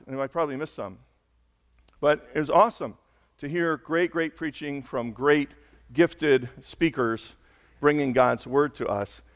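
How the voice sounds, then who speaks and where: A man preaching a sermon as a pastor, hoarse, talking conversationally and building to slow, emphatic peaks. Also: on the high side, in a large hall. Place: church sanctuary.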